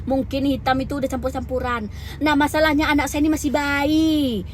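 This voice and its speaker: Young woman vlogger, higher voice, blunt and emphatic venting, faint traffic behind. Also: steady tone, brisk. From Lampung, Indonesia. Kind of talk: candid storytelling rant.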